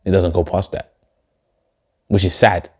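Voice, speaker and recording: A young man from Denmark, a poet, giving reflective, measured interview answers. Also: sits low, flat delivery, darkish tone, breathy edge. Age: young adult. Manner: reflective, measured, with filler phrases. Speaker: poet and guest. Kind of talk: spoken word poem, then interview answers.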